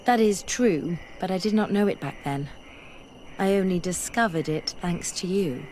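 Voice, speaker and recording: A woman's voice: lowish voice, normal speed, a little mellow, a little hiss or hum, lightly acted, rough recording. An actress playing a keen, curious young woman scholar in a fantasy video game, speaking earnestly and articulately. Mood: candid, reflective. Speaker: companion character. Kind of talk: fantasy game dialogue acting.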